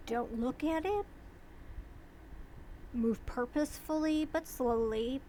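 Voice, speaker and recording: A woman vlogger talking casually and slowly, rambling with pauses and restarts. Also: on the high side, vocal fry, a little hiss or hum, poor audio. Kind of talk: casual talk to camera.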